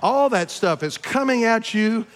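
A fifty-year-old man preaching a sermon to his congregation, emphatic, swinging between loud peaks and quiet asides and asking them questions. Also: high voice, expressive, measured pace. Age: 50s. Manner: addresses congregation directly with questions. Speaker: pastor. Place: church sanctuary.

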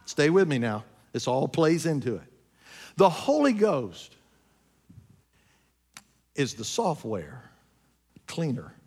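Pastor, man, 50s, high voice, emphatic preacher who questions the congregation and drops to low asides. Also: wildly swooping pitch, slow pace, pauses often, husky. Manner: emphatic preaching, swinging between loud peaks and low asides; addresses congregation directly with questions. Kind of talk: sermon. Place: church sanctuary.

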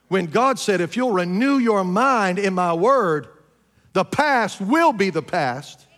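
Pastor, man, 50s, high voice, emphatic preacher who questions the congregation and drops to low asides. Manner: emphatic preaching, swinging between loud peaks and low asides; addresses congregation directly with questions. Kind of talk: sermon. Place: church sanctuary.

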